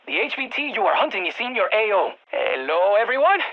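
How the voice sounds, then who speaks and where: A woman voicing an eager, geeky field scientist in a video game, with a Latin American Spanish accent, talking over radio comms in an expressive, quirky way. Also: mid-range voice, a little fast, dull audio. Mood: alarmed, then upbeat. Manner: expressive, quirky, nerdy enthusiasm. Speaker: mission guide scientist.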